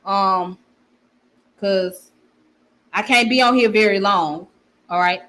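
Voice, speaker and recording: A woman's voice: mid-range voice, takes it slowly, a bit bright, rough recording. A woman with a strong Southern accent, hosting a casual livestream where she reads comments and often repeats her phrases. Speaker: channel host.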